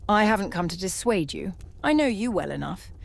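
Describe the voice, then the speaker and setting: An actress playing an ancient immortal lioness who speaks in a measured, solemn and knowing way. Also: female voice, medium pitch, sing-song, gravelly. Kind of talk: audio drama roleplay.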